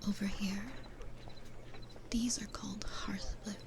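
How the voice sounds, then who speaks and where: A woman playing a gentle, patient, kindly demon florist in an ASMR roleplay, in a soft breathy whisper with a soothing, nurturing manner. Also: medium pitch, level tone, background noise, close to an everyday voice. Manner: slow, soothing, nurturing, unhurried.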